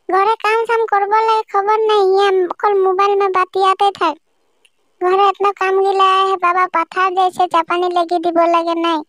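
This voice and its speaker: A woman's voice: very high voice, fairly bright tone, no echo, clean studio sound. A woman voicing a worried village mother who scolds her daughter, in a cartoon. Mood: scolding. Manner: scolding, grumbling.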